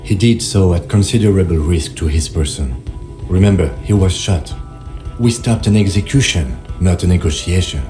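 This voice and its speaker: Man playing a low-voiced, composed police lieutenant. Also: dark tone, faint music behind, close to an everyday voice. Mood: firm, defensive. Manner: measured, formal, dry. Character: composed, precise, loyal male police lieutenant. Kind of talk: video game voice acting.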